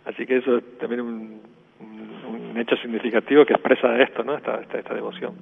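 A man's voice: medium pitch, flat delivery, brisk, gravelly, big-room sound, slight background noise. An Argentine man from northern Santa Fe, a priest, talking by phone in a radio interview, often repeating words and adding "¿no?". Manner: repeats words, many tags of "¿no?". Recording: tinny phone line.

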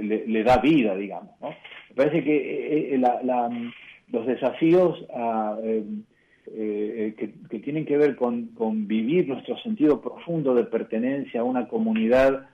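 A man with an Argentine accent, a bishop answering questions in a radio interview, measured and reflective, often pausing and restarting. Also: medium pitch, narrow, tinny audio. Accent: Argentine Spanish.

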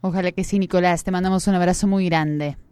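A woman co-hosting a radio interview, speaking in a calm, reflective way. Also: lowish voice, fast, clear, resonant tone, no room sound. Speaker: radio co-host.

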